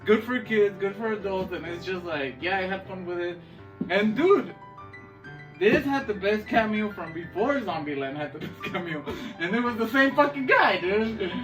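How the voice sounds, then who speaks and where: A man's voice: high-pitched, lively intonation, moderate pace. A man co-hosting a podcast in casual, slangy chat about movies and games, swearing and saying dude often. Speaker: podcast co-host. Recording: distant mic with music playing behind.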